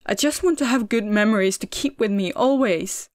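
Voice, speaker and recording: A woman's voice: medium pitch, steady tone, moderate pace, darkish tone, no echo, close to an everyday voice. A woman voicing a stubborn young city man, hurt and frustrated, in a storybook reading with a dry, close sound. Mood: pleading. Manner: pleading, emotional delivery.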